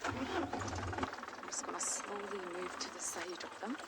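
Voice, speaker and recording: A woman safari guide giving soft-spoken, often hushed live commentary from an open vehicle outdoors. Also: fairly low pitch, level tone, measured pace, very noisy.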